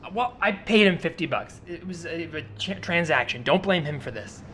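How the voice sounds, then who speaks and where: An earnest, obsessive boyfriend played by a man in an improv comedy podcast. He pleads insistently and over-dramatically, stumbling over his words, his voice often climbing higher. Clear traffic ambience runs behind him. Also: animated, normal speed, a bit bright. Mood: earnest, defensive. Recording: clear street ambience with vehicle noise. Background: added outdoor city soundscape with traffic.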